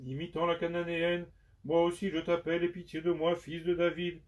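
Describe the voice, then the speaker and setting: A man's voice: fairly high pitch, flat delivery. Solemn man reciting prayers, distant. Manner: slow, measured, prayerful phrasing. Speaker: liturgical reader. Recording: dull sound from far off the mic.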